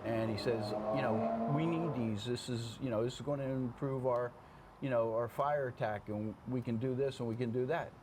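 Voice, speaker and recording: A man's voice: mid-range voice, steady tone, moderate pace. An older man, a veteran fire officer, chatting casually as an interview guest in a plainspoken way, often checking in with the listener. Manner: plainspoken, checks in with "okay?" and "you know". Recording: clear background room noise.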